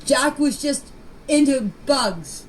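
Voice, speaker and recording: High-voiced older woman, plain-spoken, noisy recording. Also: expressive, slow. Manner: plain-spoken, folksy, repeats phrases. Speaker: grandmother recounting memories. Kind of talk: informal personal recollection. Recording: clear background noise, amateur voice clip.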